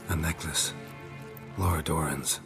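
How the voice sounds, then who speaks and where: An actor voicing a stoic, protective monster hunter in a fantasy video game, gruff, terse and dry. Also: male voice, rumbling low, steady tone, measured pace, music in the background. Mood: calm, reassuring.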